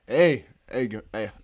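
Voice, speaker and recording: Excitable Dutch-accented man streaming. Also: mid-range voice, husky. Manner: excitable, reads chat aloud, bursts into whoops. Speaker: crypto livestream host. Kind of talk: casual livestream chat.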